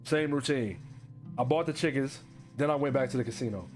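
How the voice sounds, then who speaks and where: A man's voice: mid-range voice, a little fast, faint music behind, close to an everyday voice. An actor playing a broke, desperate divorced man who narrates his analog horror story in a resigned, confessional, wry way. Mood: uneasy.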